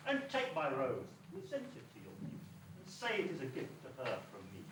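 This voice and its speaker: A man's voice: medium pitch, wildly swooping pitch, brisk. A man acting a lordly, stern but secretly hopeful beast in an amateur rhyming pantomime, imperious and theatrical. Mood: calculating. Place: school hall stage. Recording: echoey distant stage recording with hiss.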